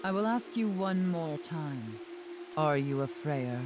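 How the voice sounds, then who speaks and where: An actress playing a composed, enigmatic company president in a video game, speaking formally and with measured poise. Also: female voice, deep voice, animated. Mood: probing. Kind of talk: English game voice acting. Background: game music.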